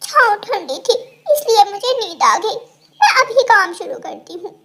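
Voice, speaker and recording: Woman doing a lazy vain lady crow from a cartoon, sly, really high squeaky pitch. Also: big pitch swings, unhurried, bright, forceful tone, exaggerated voice. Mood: startled.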